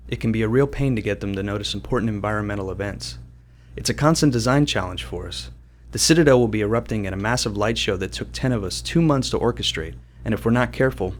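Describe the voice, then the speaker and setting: Male game developer, scripted commentary, fast-paced, lowish pitch.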